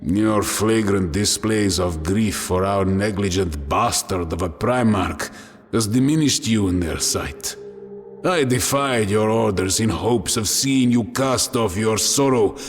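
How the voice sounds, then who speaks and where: Man playing a slow, low-voiced wounded warrior, wry. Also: lightly acted. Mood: bitter reproach. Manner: measured, sardonic, weary. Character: wounded, wry, loyal transhuman warrior, male, bitterly proud. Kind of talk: dark sci-fi audio drama acting. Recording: long hall reverb over music.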